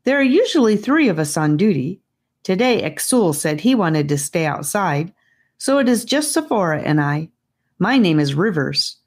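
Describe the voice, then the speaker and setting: A woman's voice: on the low side, big pitch swings, normal speed. A woman voicing a serious ten-year-old boy guard in a storybook reading. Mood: questioning.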